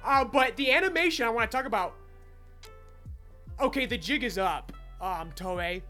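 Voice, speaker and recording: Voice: mid-range voice, expressive, normal speed, bright, forceful tone, soft background music. An opinionated podcast co-host casually reviewing a film, speaking emphatically and swearing freely.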